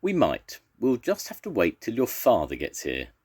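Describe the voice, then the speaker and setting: A man voicing a huge but gentle and courteous monster mother in a storybook. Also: lowish voice, wildly swooping pitch, normal speed, husky, voice pushed up, exaggerated voice. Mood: kindly.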